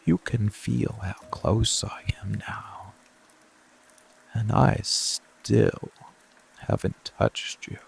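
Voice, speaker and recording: A dark, seductive male monster played by a man murmurs in a low, heavily creaking voice over rain and music. He speaks extremely slowly, breathy and often whispering, as a possessive, protective lover in an intimate ASMR roleplay. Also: a little hiss or hum, full character voice. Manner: slow, deliberate, coaxing, with long pauses.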